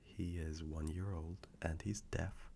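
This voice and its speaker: A man playing a gentle, affectionate boyfriend in an intimate audio roleplay, speaking softly and reassuringly with a low, gravelly, sometimes breathy voice. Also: steady tone, slight background noise, full character voice. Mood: hopeful, coaxing. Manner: soft, slow, reassuring, intimate.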